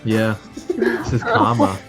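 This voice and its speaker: A man's voice: on the low side, flat delivery, takes it slowly. A man chatting casually as a player in a tabletop role-playing game, hesitant and repeating his phrases. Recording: slightly dull audio, music behind. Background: music.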